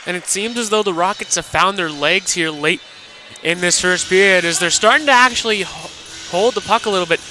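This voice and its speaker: High-voiced man analysing hockey. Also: fairly quick, pushed and bright, a little hiss or hum. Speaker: colour commentator. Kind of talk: live sports analysis on radio. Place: commentary position in an indoor hockey arena.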